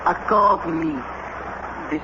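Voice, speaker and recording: A proud witch in an old radio play. She speaks slowly and theatrically with a sly, businesslike haughtiness. The recording is muffled and clearly noisy. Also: on the low side, fairly even intonation. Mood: cool, mysterious. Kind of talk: radio drama acting.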